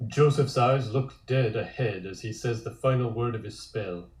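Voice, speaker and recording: A man's voice: mid-range voice, moderate pace. A man narrates scripted scene directions for a horror comic in a table-read style. His mid-range voice sounds roomy and echoey. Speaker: narrator reading stage directions.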